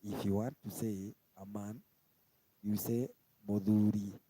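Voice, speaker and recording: Man, Kenyan accent, unhurried repetitive teaching, dry close sound. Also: lowish voice, level tone, mellow, dry recording, poor audio. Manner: slow, repetitive, spells out each word twice. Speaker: language teacher. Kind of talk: informal live-stream language lesson.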